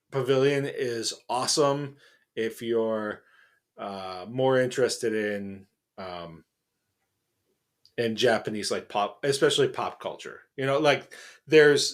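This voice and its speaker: A middle-aged man co-hosting a podcast in casual chat, rambling with many fillers and restarts. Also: mid-range voice. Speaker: podcast co-host.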